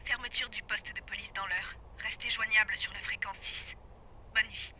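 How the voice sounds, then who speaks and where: Female actor as police dispatcher, flat and businesslike, clipped delivery on noisy two-way radio. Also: mid-range voice, moderate pace. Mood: flat, official.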